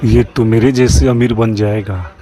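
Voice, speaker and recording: A man playing a greedy, threatening old village moneylender in a cartoon story, in a stern, deliberate manner. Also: lowish voice, fairly even intonation, mellow, natural voice. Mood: envious, scheming. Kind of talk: cartoon moral-story character acting.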